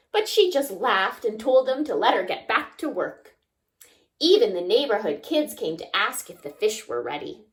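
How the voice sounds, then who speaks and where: Slow, animated woman reading to children. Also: medium pitch. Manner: expressive, asks child listeners simple questions. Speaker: children's story time reader. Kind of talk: read-aloud children's picture book narration.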